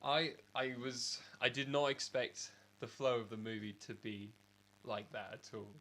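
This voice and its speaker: A man's voice: mid-range voice, moderate pace, fairly bright tone. A man from England co-hosting a podcast, talking casually with a slightly rattly voice after being ill, rambling with many restarts. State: just recovered from illness, rattly voice. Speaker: podcast co-host. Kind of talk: casual chat.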